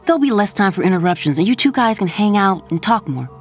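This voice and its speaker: A woman's voice: medium pitch, big pitch swings, fast talker, faint music behind. A woman playing a sassy, loving middle-aged mother in an audio drama, speaking frankly and conversationally. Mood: loving, teasing. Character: sassy, loving mother of grown children, fed-up wife. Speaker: actor.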